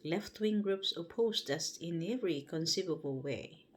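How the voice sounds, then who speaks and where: A woman with a Filipino accent reads a book aloud on a home livestream before bed. She reads carefully and haltingly, stopping to correct herself. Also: lowish voice, lively intonation, a little hiss or hum. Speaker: livestream host. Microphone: livestream setup.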